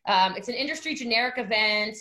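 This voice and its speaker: Animated bright-voiced woman telling a story. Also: on the high side, quick pace. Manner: animated, acts out quotes, many fillers. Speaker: guest. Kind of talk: casual storytelling chat.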